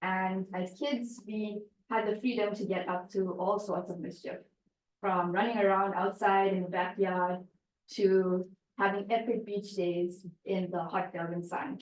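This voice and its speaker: A woman's voice: medium pitch, steady tone, a little slow, slight background noise. A woman from Africa, giving a prepared speech at a club meeting and telling her story while asking the audience questions. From South Africa. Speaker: club speaker. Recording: echoey room, distant mic.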